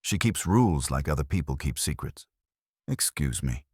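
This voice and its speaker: A man's voice: very deep voice, expressive, normal speed, a little mellow, breathy edge, no room sound, clean studio sound, full character voice. A man voicing a bitter, hard-drinking man in his thirties in an audiobook, curt and sarcastic. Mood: bitter, defensive.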